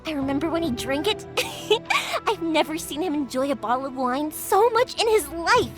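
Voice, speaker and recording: A cheerful young fireworks-maker with a very high, lively voice. She chats warmly and laughs often. Music plays behind her in this game scene. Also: moderate pace. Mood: friendly. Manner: bubbly, talkative, laughs often. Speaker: game character. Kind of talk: video game voice acting.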